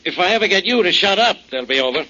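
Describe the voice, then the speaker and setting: An actor playing an irritable, snappish, impatient police inspector in a 1940s radio drama. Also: male voice, high voice, expressive, fast talker, sharp tone. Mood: exasperated. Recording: dull 1940s radio recording, clear hiss.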